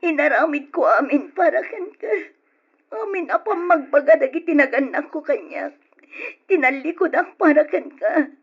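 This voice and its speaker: A woman's voice: high voice, animated, normal speed. A woman with an Ilocano Filipino accent playing a devoted, wronged partner full of reproach in a radio drama. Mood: hurt, pleading. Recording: dull old radio drama recording.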